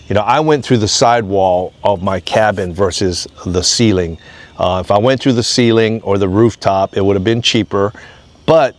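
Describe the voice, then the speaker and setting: An American man from Hawaii giving a casual, unscripted homesteading update in a plain-spoken, rambling way. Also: lowish voice. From Hawaii, United States. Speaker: off-grid homesteading vlogger.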